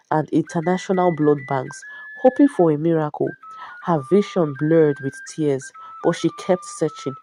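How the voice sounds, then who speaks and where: Woman, dramatic story narrator, very animated pitch, soft mellow tone, music underneath.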